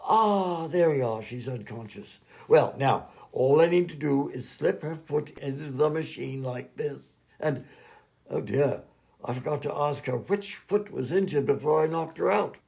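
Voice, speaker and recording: A man's voice: fairly high pitch, unhurried, vocal fry, a little mellow. A man playing an absent-minded, fussy and polite mad doctor and inventor in a comedy radio play. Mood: breezy, fussy.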